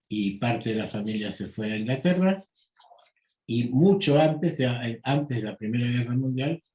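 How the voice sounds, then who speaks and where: An older man with an Argentine Spanish accent, a psychoanalyst answering interview questions slowly and deliberately, with frequent pauses and fillers. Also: fairly low pitch, dark tone, reverberant, poor audio. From United States. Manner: slow, measured, with many pauses and fillers. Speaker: psychoanalyst guest.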